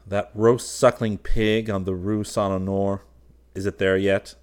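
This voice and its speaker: A man voicing a brisk, commanding young man in an audiobook, speaking bluntly and emphatically as he scolds. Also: on the low side, level tone, darkish tone, clear, resonant tone, lightly acted. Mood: scolding.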